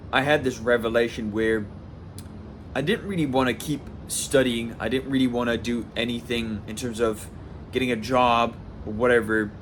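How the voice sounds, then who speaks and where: A man's voice: medium pitch, fairly bright tone, slight background noise. A man in his twenties from southwest France, talking casually to camera in a rambling personal vlog, full of restarts and "like". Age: 20s. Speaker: vlogger.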